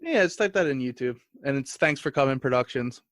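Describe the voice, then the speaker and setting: A man's voice: mid-range voice, expressive, fairly quick, a bit bright, dry recording. A man co-hosting a comedy podcast, chatting casually in a joking, rambling way. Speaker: guest podcast co-host.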